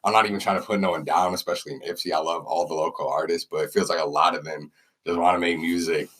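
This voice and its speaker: A young man with a naturally very deep voice, chatting casually in slang as a podcast guest. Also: steady tone, quick pace, fairly bright tone. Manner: laid-back slangy talk with laughs. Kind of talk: casual chat.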